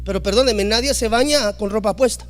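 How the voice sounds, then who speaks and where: Pastor guy preaching hard, really high voice, builds up loud. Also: brisk. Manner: emphatic, rising to loud exclamations, acting out quoted dialogue. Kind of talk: preaching a sermon.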